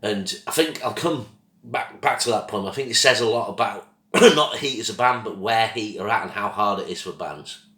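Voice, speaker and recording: Croaky-voiced man, bright and roomy. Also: lowish voice, moderate pace. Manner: rambling, loses track of names, apologetic. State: croaky from a throat infection, coughing. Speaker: album reviewer, channel host. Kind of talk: casual spoken review.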